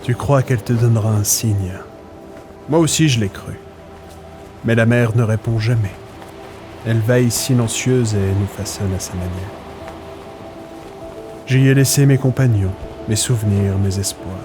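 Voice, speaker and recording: Casting: male actor as weathered old seaman, low voice, melancholy and deliberate, haunted by losses, for radio fiction over music. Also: natural voice. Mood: melancholy, reflective. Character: old storm-worn sailor, melancholy and haunted by lost comrades. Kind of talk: French audio drama acting.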